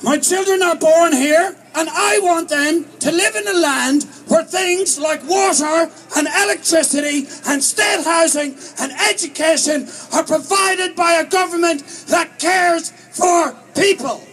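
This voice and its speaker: Irish male protest organiser, very high-pitched rousing oratory, outdoor rally with light crowd noise. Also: measured pace, hardly any pauses, a bit bright, rough recording. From Ireland. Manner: rousing, declamatory. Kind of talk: impassioned political rally speech. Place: outdoors at a street march.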